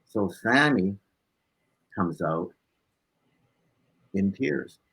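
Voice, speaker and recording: A man's voice: sits low, extremely slow, creaky, breathy edge. A man being interviewed as a guest, casually telling personal stories and acting out bits of dialogue as he goes. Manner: animated anecdotal telling, acting out dialogue, tagging phrases with "right?". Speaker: interview guest, blues musician.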